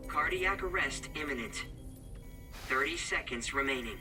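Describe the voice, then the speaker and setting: A performer voicing a medical machine that gives flat, clinical, measured automated warnings in a game. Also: mid-range voice, over loud music, natural voice. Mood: clinical, urgent warning. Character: calm medical machine voice announcing countdown warnings. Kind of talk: automated system announcement.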